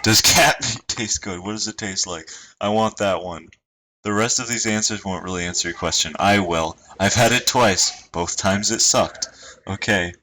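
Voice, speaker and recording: Male streamer, very deep voice, monotone and dry, casual reading aloud, noisy hissy recording. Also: normal speed.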